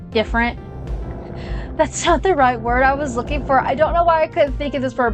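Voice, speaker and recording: A young woman chatting casually while playing a video game, rambling with lots of fillers and side tangents. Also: fairly high pitch. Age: young adult. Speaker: gaming channel host. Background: game music.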